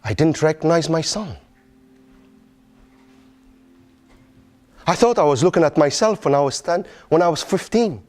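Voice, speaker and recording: A man's voice: a little high, lively intonation, a little fast, a little mellow, natural voice. A man performing a dramatic monologue as an anguished middle-aged Palestinian father, in Arabic-accented English, with emphatic repetition and pointed questions. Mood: anguished, scolding. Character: anguished Palestinian father pleading with his vengeful son.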